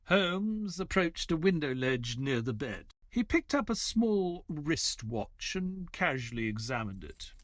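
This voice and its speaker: A man's voice: fairly high pitch, very animated, unhurried, hardly any pauses, no echo. An actor playing a reserved former army man who is companion to a detective and narrator of an audio play. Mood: reflective.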